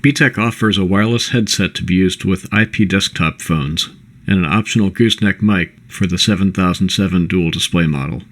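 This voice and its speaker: Male presenter, low register, for scripted product overview; measured, instructional read. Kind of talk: scripted corporate narration.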